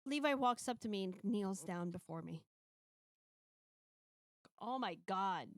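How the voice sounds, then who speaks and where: A woman's voice: medium pitch, expressive, measured pace, no room sound, clean studio sound. A woman streamer reading a visual novel's first-person narration dramatically, playfully breaking off for casual asides.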